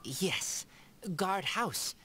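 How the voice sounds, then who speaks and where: A woman playing a shy, gentle, loyal feral boy raised by wolves in a video game, speaking in halting broken sentences. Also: fairly low pitch, animated, in a whisper, full character voice. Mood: protective, earnest. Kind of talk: video game character dialogue. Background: game background music.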